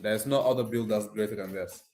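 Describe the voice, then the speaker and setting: A man's voice: on the low side, steady tone, a little fast, darkish tone, soft background music. A man from Nigeria with a Nigerian accent chats casually and informally, addressing live viewers directly in slangy speech. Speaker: live streamer. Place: echoey room indoors. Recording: echoey room.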